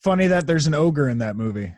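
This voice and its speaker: A man's voice: fairly high pitch, expressive, brisk, darkish tone, no echo. A man hosting a podcast, asking questions and commenting in casual conversation. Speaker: podcast host. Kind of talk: casual interview chat.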